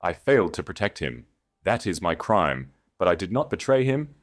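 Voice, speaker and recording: A man's voice: low voice, sing-song, normal speed. A man voicing a grave, guarded exiled duke in an audiobook, speaking formally and grimly. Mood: quiet grief. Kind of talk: audiobook character dialogue.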